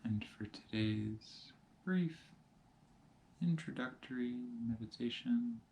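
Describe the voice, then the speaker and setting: A man teaching meditation, giving calm, measured instructions in short phrases with pauses between them. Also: medium pitch, gravelly, slight background noise. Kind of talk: guided meditation, slow spoken instructions.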